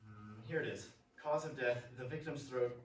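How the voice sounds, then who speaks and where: A man's voice: medium pitch, normal speed, natural voice. A man playing an earnest, uneasy husband in a radio play, with a hesitant, cautious way of speaking. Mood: puzzled, grave. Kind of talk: theatrical radio drama acting. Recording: very echoey room, distant mic, faint noise.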